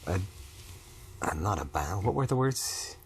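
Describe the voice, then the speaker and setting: A man speaking as a client in counseling, halting and searching for his words as he talks personally. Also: low voice, big pitch swings, slightly rough. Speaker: therapy client, husband.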